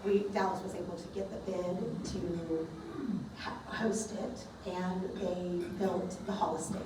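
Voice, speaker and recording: A woman with a slightly low, raspy voice talks casually to a club audience. She is picked up from some distance in a very echoey meeting room. Steady background noise runs under her voice. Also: unhurried. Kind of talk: casual presentation.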